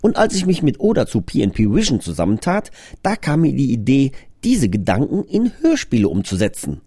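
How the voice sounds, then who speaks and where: A man's voice: mid-range voice, huge pitch swings, brisk, warm tone, breathy edge, no room sound, clean studio sound. A man presenting his own audio drama in a scripted but conversational trailer, explaining things earnestly.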